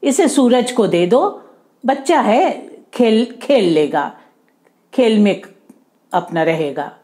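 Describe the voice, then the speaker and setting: A woman voicing a gentle, caring father in a children's story, talking plainly and kindly. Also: a little high, animated, slow pace. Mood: puzzled, musing.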